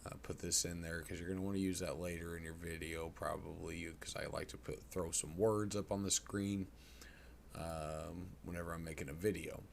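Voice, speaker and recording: Very deep-voiced man giving a casual tutorial. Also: fairly even intonation, moderate pace. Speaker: tutorial presenter. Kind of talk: casual screen-recorded software tutorial. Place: indoors at a computer. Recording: clear background noise.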